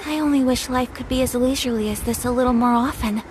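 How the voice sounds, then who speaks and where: An actress playing a gentle, curious scholar in a game, polite and soft-spoken. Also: female voice, a little high, fast talker, a little hiss or hum, natural voice.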